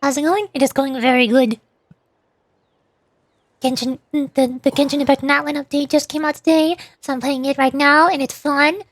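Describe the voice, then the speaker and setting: A woman livestreaming a game with casual, excitable, playful chatter. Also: high voice, moderate pace, no room sound. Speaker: livestreamer, VTuber. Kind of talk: casual gameplay stream chat.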